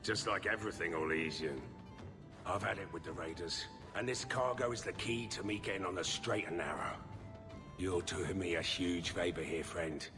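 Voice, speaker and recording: A shifty smuggler in a fantasy game, with a low-pitched voice. He sounds evasive and put-upon, over clearly audible music. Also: moderate pace. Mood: smooth, persuasive. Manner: defensive, exasperated. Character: shifty, aggrieved male smuggler, evasive and self-pitying. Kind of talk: video game dialogue acting.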